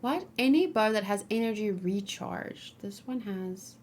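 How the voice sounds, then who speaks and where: A woman's voice: mid-range voice, expressive, unhurried, a little mellow. A woman streaming a game, thinking aloud in casual chat and often muttering to herself. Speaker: gaming streamer, host. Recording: noisy, with keyboard typing and clicking. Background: background noise, computer keyboard and mouse clicks.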